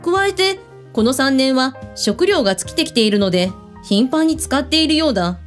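Actress playing a high, animated, breezy woman boss. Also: normal speed, soft background music. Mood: explanatory, confident. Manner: blunt, authoritative phrasing. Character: breezy, improvising female hotel owner and commander. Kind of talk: radio drama acting.

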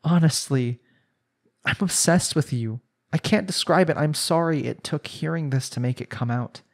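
A man's voice: a little high, normal speed. A young man playing a jealous, lovestruck husband in an intimate romantic audio roleplay, speaking in an earnest, pleading way. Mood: earnest, yearning. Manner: earnest, pleading, sighing delivery.

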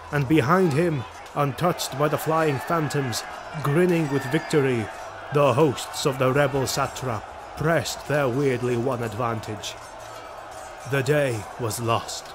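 A man's voice: mid-range voice, noisy background. A man narrating a fantasy audio drama in a grave, dramatic storytelling manner. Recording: music behind the voice. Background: dramatic music.

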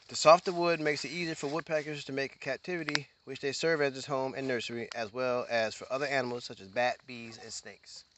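A man and father narrating casually as he hikes a wooded mountain trail, chatty and pointing out sights. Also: mid-range voice. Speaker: father filming a hike. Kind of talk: casual vlog narration while hiking. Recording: outdoor camera audio with clear background noise.

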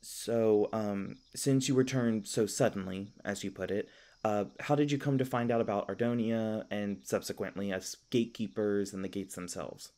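A man acts out a youthful elf park ranger in a fantasy audio roleplay. His voice sits a little low. He talks casually and kindly, rambling and stammering with flustered, apologetic humor. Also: steady tone, moderate pace, hardly any pauses, mellow, natural voice. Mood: friendly, reassuring.

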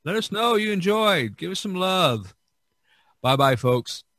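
A middle-aged or older man hosting a casual podcast, talking in a halting, rambling way with many pauses. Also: high-pitched, big pitch swings, fairly bright tone. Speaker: podcast host.

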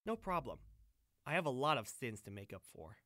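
A man's voice: mid-range voice, big pitch swings, normal speed, a bit bright. A man playing a protective, tough and brooding teenage boy who speaks in clipped sentences, in an audio drama. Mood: quiet, brooding.

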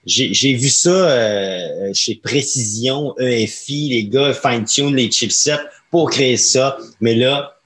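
A man's voice: mid-range voice, slow, few pauses, a bit bright. A man in his thirties with a Quebec French accent, hosting a casual podcast in a colloquial, enthusiastic way on a close microphone. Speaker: podcast host. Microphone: close studio microphone on arm, headphones.

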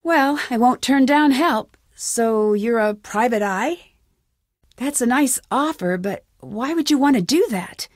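A woman's voice: on the high side, animated, a little slow, no echo, clean studio sound. A woman voicing a determined, suspicious young amateur detective in a video game, with an earnest, questioning manner. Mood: earnest, guarded.

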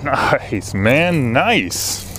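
A man in his thirties casually narrating his fishing trip outdoors to an action camera, relaxed and chatty. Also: medium pitch, drawn-out words. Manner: relaxed, talks to camera, excited when landing a fish. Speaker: fishing vlogger. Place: outdoors by a canal on rocks. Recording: outdoor camera audio with background noise.

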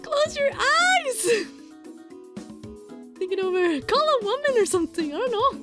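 Animated high-voiced woman reading a game. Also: a little slow, over music. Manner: animated, giggly, playfully exasperated, stumbles over reading. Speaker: let's player reading a visual novel aloud. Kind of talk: dramatic read-aloud mixed with casual reactions.

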